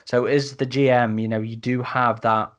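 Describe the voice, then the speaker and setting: Guy hosting a podcast, medium pitch, chatty, kinda halting and rewording his sentences. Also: little pitch movement, a bit bright. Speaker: podcast host. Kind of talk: casual podcast discussion.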